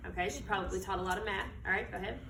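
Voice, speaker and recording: An American woman reading a picture book aloud to children like a teacher, with expressive, emphatic delivery and questions to her listeners. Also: mid-range voice, racing. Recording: echoey room, distant mic, background noise.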